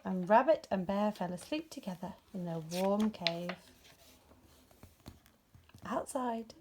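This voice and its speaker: Woman doing storytime narration for little kids, expressive, mid pitched voice. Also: slow pace, a little hiss or hum. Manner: expressive, acts out dialogue. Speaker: storybook reader. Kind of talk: scripted children's story narration.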